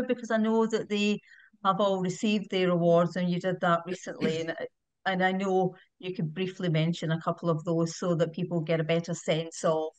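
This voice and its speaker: British woman hosting an online webinar, lowish voice, dry sound, lots of ums. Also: no room sound. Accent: British English. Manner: fluent but with frequent ums. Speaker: webinar host. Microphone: video call.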